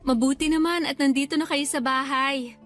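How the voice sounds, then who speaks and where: A caring mother and homemaker with a fairly high voice. She speaks attentively and affectionately in radio drama style, over faint music. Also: steady tone, a little fast, a bit bright. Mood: cheerful, motherly.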